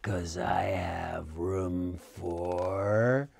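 Man as sly storybook gator, very slow drawl, low, breathy, hungry, menacing. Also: steady tone, a little mellow, dry recording, full character voice. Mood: sly, grinning. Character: slow, sly, hungry male swamp alligator.

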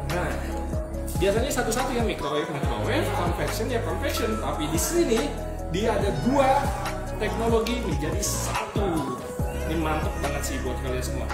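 Chatty young man demoing in an echoey room. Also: high voice. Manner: chatty, animated, gestures while explaining. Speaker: product reviewer. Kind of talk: casual product demo. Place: small indoor kitchen showroom. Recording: echoey room with loud music.

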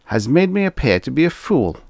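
A man's voice: fairly low pitch, sing-song, a little fast, creaky, dry recording, studio quality, pitched above the performer's own voice. A man voicing a pompous, easily panicked ambassador, barking and indignant in a science fiction audiobook. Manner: barking, indignant, self-important.

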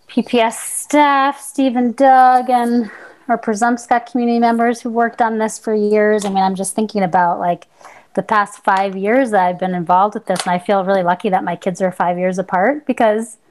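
Woman on a video call sharing her excitement with the board, chatty and gushing, lots of likes and ums. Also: mid-range voice, poor audio. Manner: gushing, chatty, uses fillers. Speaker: school board member. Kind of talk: casual remarks in a board meeting.

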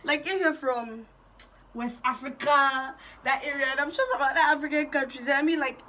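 A young woman from Ghana chatting casually and excitedly in an intro vlog, giggly and animated, recorded in a small bedroom. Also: high-pitched, normal speed. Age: young adult. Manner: bubbly, giggly, animated, gesturing. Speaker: YouTube vlogger.

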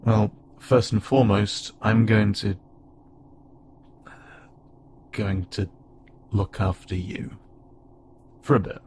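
Man playing a hesitant, low-voiced alien loner pilot. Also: fairly even intonation, lightly acted. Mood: earnest, hesitant. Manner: hesitant, halting, stammering and self-doubting. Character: awkward, gentle insect-like alien pilot, a self-described loner. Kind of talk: intimate audio roleplay addressed to the listener. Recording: clearly noisy, with ambient sound bed.

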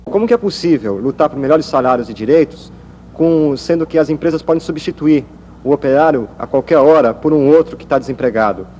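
A man putting a viewer's question to a guest in a measured way. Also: on the high side, lively intonation, warm tone. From Brazil. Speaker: interviewer. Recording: old broadcast with long echo and hum.

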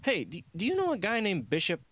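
A man's voice: high voice, wildly swooping pitch, a bit bright, no room sound, pitched above the performer's own voice, full character voice. Man acting hesitant, awkward young janitor. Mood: distracted. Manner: hesitant, halting.

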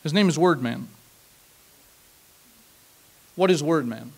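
A man in his thirties telling a personal story at an open mic on a small stage, reflective and measured, leaning on emphatic repeated phrases, speaking into a handheld mic. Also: fairly high pitch, vocal fry, a little mellow, slightly rough. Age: 30s. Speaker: spoken word performer. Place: small stage, seated on a stool.

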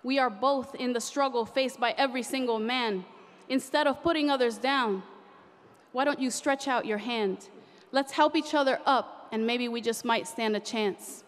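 A young woman with a high voice recites rhyming spoken word poetry at a podium mic. Her delivery is rhythmic and impassioned, and it carries a long echo through a large convention hall. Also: normal speed. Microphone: podium microphone.

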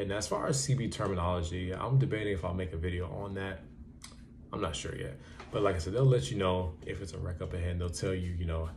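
Low-voiced young man, casual and blunt. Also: vocal fry, a little mellow, slight background noise. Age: young adult. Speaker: trucker giving advice in a vlog. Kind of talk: casual advice talk to camera. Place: small plain indoor room.

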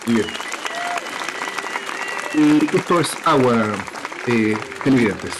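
A man's voice: mid-range voice, expressive, slow, a crowd in the background, noisy background. A middle-aged man hosting a political talk show from home on a video call with a headset, giving formal, wordy introductions full of fillers. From Chile. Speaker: host. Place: home room with bookshelves.

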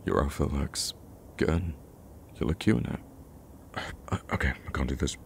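An extremely low-pitched actor's take on a betrayed young man, bitter yet protective of an old friend. He speaks haltingly in an intimate, emotional roleplay style, with noticeable noise in the recording. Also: creaky. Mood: awkward, hesitant, then shocked, concerned. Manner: halting, stammering, sighing. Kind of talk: emotional ASMR roleplay acting.

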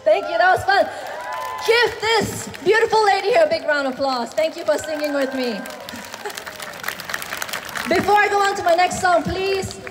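A high-voiced woman banters cheerfully from an open-air rooftop stage at night. Her handheld microphone runs through the PA, and the band's music plays clearly behind her in the live concert recording. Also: animated, normal speed, pauses often, long reverb tail, a crowd in the background. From Penang, Malaysia. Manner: cheerful, laughing between phrases. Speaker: singer. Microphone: handheld stage mic through PA. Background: band and audience.

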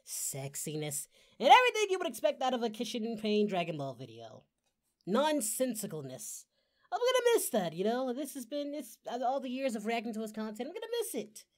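A performer voicing an excitable male cartoon martial-arts hero who reacts to videos in a theatrical, exclamatory way. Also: medium pitch. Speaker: host of a reaction channel. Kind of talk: reaction commentary, impression of an anime character.

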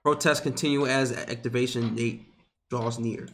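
A man's voice: medium pitch, steady tone, moderate pace, background noise. A young man hosting a gameplay video from a headset at home, joking and roasting his friend. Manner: joking, teasing roast banter. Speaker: gaming channel host. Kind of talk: casual gameplay commentary.

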